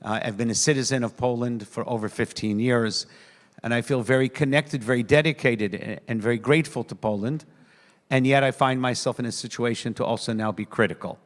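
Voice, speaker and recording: A man with a New York accent, a rabbi giving an earnest, measured conference speech with frequent hesitations. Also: medium pitch, fairly even intonation, big-room sound. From United States. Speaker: rabbi speaking on a panel.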